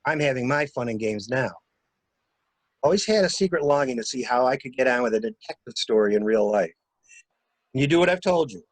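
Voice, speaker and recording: A man reading the part of a cool, scheming traveler in a murder mystery drama, brisk and instructing with a wry, playful streak. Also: medium pitch, lively intonation, no echo, lightly acted. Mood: wry, teasing. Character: cool, scheming male traveler taking charge of a crime cover-up.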